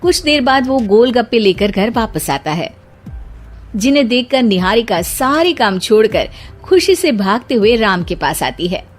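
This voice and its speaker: A woman narrating a scripted cartoon family story in an expressive storytelling manner. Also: fairly high pitch, a little slow, soft background music.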